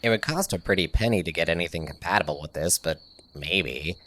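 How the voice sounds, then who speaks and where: Guy acting as a nerdy tinkerer explaining stuff, deep voice, casual and kinda awkward, sci-fi podcast drama. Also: fairly quick, a bit bright. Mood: eager, thoughtful. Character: nerdy, eager, tech-savvy tinkerer. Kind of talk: audio sitcom acting.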